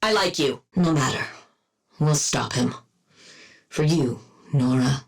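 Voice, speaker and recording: A woman playing a brilliant, dry-witted scientist villain-antihero in a video game, speaking in a clinical, deadpan and wryly bookish way. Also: sits low, takes it slowly. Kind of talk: video game combat voice lines.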